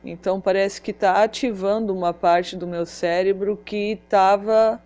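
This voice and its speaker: Woman vlogger, non-native Portuguese speaker, warm low-key tone, halting delivery. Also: fairly even intonation, muffled recording. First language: another language than Portuguese. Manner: halting, with pauses and restarts. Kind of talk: personal vlog talk.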